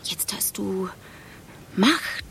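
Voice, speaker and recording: An actress in a radio play playing a timid, envious but loyal office colleague, with a husky, breathy voice. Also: female voice, mid-range voice, expressive, extremely slow, fairly bright tone, whispered, far from the mic, exaggerated voice. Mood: needling, insinuating.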